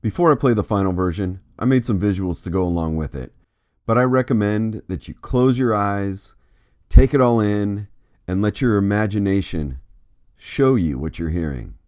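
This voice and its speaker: A man's voice: fairly low pitch, normal speed, darkish tone. A middle-aged man hosting an art vlog with scripted narration. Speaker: host, artist.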